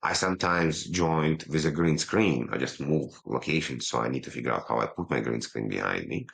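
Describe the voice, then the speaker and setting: A man with a low voice and a non-native English accent, talking as a podcast guest over a video call, quick but pausing to slow himself down. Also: gravelly, fairly bright tone, husky. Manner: quick talker who slows himself down, pausing instead of fillers. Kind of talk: casual interview talk.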